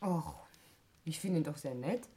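An actress playing a cool, shrewd, teasing sister in a 1960s German radio murder mystery, with an ironic, probing manner. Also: female voice, sits low, expressive, unhurried, mellow. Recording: noisy 1960s radio recording with clicks.

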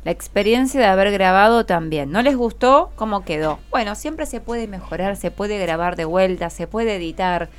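A woman's voice: mid-range voice, normal speed, hardly any pauses. A woman with a Rioplatense Argentine accent hosting a friendly radio interview in an encouraging, conversational way. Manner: encouraging, prompts with short questions and affirmations. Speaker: radio host interviewing schoolchildren. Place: radio studio.

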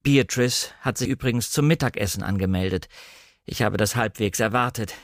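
A man voicing a brisk, decisive English gentleman, master of an estate, in matter-of-fact audiobook dialogue. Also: fairly low pitch, dry recording, studio quality, lightly acted.

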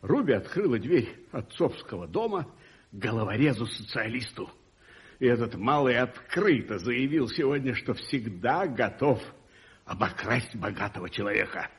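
An actor playing an imperious, hot-tempered wealthy English lord in a radio play, blustering and emphatic. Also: male voice, mid-range voice, animated, moderate pace, slightly rough. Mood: indignant. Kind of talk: 1980s Soviet radio play acting.

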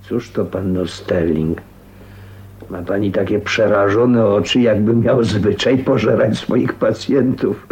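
Slow, echoey brusque male doctor. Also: on the low side, steady tone, darkish tone. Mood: brusque, jocular. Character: brusque, absent-minded male family doctor. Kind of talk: radio drama dialogue. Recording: echoey room, faint noise.

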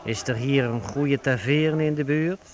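A man's voice: medium pitch, a little slow, close to an everyday voice. A man acting in a period radio drama as an affable, persuasive, scheming servant of a medieval nobleman. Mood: ingratiating. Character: scheming, smooth-talking medieval nobleman's servant offering bribes. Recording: tavern or street crowd behind voice.

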